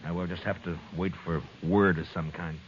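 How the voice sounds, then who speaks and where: Casting: man playing a stoic American frontier marshal, low voice, laconic and tired, dull vintage radio sound. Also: steady tone, moderate pace, a little hiss or hum, natural voice. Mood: resigned. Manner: laconic, understated. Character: weary, dry, stoic frontier town marshal. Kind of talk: 1950s radio western acting. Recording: dull old radio recording.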